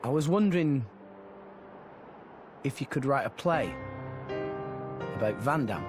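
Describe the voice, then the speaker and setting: A man's voice: mid-range voice, animated, a little slow, loud music behind the voice, natural voice. An actor playing an upbeat, earnest teenage salvager boy in a video game cutscene, talking casually and eagerly. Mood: earnest, hopeful. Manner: casual, eager, informal speech.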